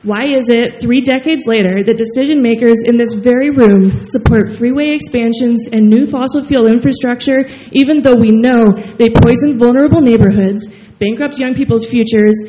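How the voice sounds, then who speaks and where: A woman in her thirties reading an earnest, impassioned public comment at a meeting, with a loud voice. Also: fairly high pitch, normal speed, hardly any pauses, slight background noise. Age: 30s. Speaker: youth climate advocate giving public comment. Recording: muffled meeting-room audio.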